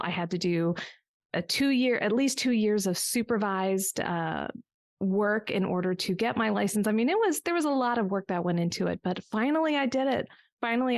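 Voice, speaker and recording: A woman's voice: mid-range voice, gravelly, no room sound, clean studio sound. A woman in her forties, a business coach guest on a podcast, telling her story in a reflective way with small restarts. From United States. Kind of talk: conversational podcast interview.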